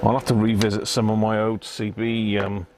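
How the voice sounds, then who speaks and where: A man's voice: lowish voice, little pitch movement, moderate pace, a little mellow, slight background noise. A British man hosting a live repair stream, talking casually and half to himself as he works, often tagging questions onto his remarks. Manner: muttering to himself, tag questions. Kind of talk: casual live bench commentary.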